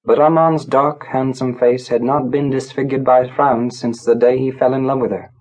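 A man narrating a literary story from a script, in a measured, grave, dramatic storytelling manner. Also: mid-range voice, level tone, a little mellow, rough recording. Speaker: audiobook narrator.

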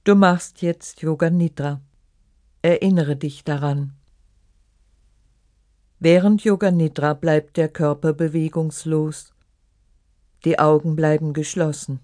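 A meditation guide reading a scripted relaxation in short, measured, instructive phrases. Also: medium pitch, fairly even intonation, warm tone, no room sound, clean studio sound. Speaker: yoga nidra meditation guide.

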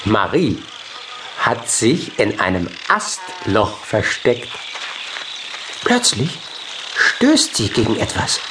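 A man narrating a children's audiobook expressively, in short measured phrases. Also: on the low side, wildly swooping pitch, background noise. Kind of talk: scripted children's story narration.

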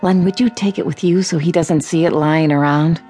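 Actress as chatty, weary wife. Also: female voice, low voice, steady tone, moderate pace, dark tone, faint music behind, lightly acted. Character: practical, chatty, weary wife of a childish man. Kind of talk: radio drama acting.

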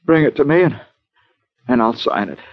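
A frightened bedridden American man acted by a man, stammering and pleading in a mid-range voice. It is old radio crime drama, halting and urgent, with a dull vintage sound. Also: mid-range voice, expressive, lightly acted. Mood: anxious, urgent. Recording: muffled vintage radio broadcast recording.

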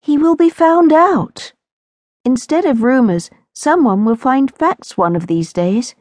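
Woman doing a lonely, prim young aristocratic girl, raised high and a touch louder. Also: expressive, slow, no echo, exaggerated voice. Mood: earnest. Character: lonely, old-fashioned, serious young noble girl.

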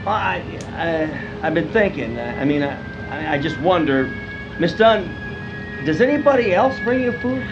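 An actor playing a friendly, bumbling neighborhood grocer in a radio drama, earnest and concerned, chatty but hesitant and stammering. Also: male voice, on the high side, lively intonation, moderate pace, lo-fi sound, over music, lightly acted.

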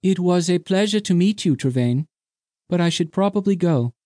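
Male reader doing a higher, gentle, whimsical ageless sea maiden in a storybook reading, dry close sound. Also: expressive, normal speed, no echo. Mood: wistful. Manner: soft, precise, lilting. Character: mysterious ancient sea woman, young-seeming, whimsical.

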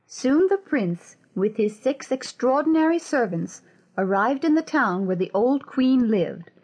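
A woman tells a fairy tale as an audiobook narrator. Her voice is fairly high. The delivery is scripted storytelling, made for listeners following a classic tale. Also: animated, unhurried, darkish tone, poor audio.

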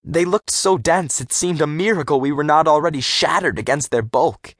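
A man narrating a first-person adventure story for young readers, expressive and clearly articulated, as a scripted audiobook. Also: fairly high pitch, normal speed, no echo, clean studio sound.

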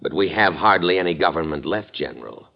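An actor playing a calm, skeptical, shrewd mayor in classic radio drama, speaking in a measured, formal, dryly ironic way. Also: male voice, sits low, vocal fry. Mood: dry, firm. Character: calm, wry, skeptical small-city mayor, civil but shrewd. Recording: dull vintage radio recording.

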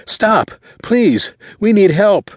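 A man's voice: on the high side, very animated, moderate pace, no room sound, voice pushed up. A man voicing a frantic, terrified teenage boy who pleads urgently for help in a story.

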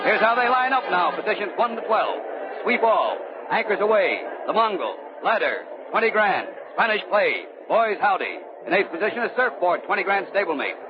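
High-pitched, excited vintage race announcer. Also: male voice. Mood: eager anticipation. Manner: urgent, breathless repetition of phrases. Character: excited male horse race radio announcer at trackside. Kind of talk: 1930s radio race commentary. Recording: dull old radio, loud crowd noise.

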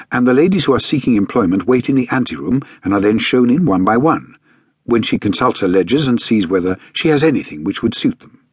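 A man's voice: mid-range voice, husky, no room sound. A man voicing a polite, self-reliant young British governess in an audiobook, earnest, courteous and precise. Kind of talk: audiobook character acting.

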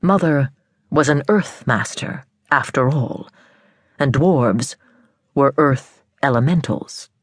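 A woman narrating an audiobook of fiction in a measured, storybook manner with careful phrasing. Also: extremely low pitch, breathy edge, dry recording, studio quality.

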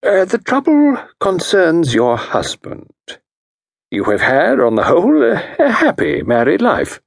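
Man playing unflappable gentleman consultant, measured, soothing, gravelly edge, lilting. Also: high voice, huge pitch swings, no room sound, clean studio sound, voice pushed up, heavily acted. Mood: assured. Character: calm, knowing middle-aged male consultant, soothing and assured.

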